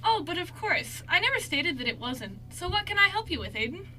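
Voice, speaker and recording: A woman's voice: extremely high pitch, sing-song, fairly quick, sharp tone, heavily acted. A woman playing a bubbly, teasing, sweet young best friend in an amateur radio play, gushing playfully.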